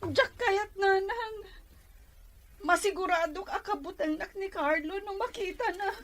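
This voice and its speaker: A woman acts out a shy, wounded young woman with a strikingly high-pitched voice. She speaks in a timid, plaintive way, as a vulnerable sweetheart in an audio drama. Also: unhurried, fairly bright tone. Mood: tearful, doubtful. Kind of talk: Ilocano audio drama acting.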